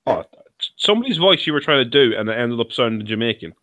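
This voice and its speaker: A man co-hosting a podcast, chatty and quick to react. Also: mid-range voice, sing-song, bright, forceful tone, dry recording. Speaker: podcast co-host. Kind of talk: casual chat.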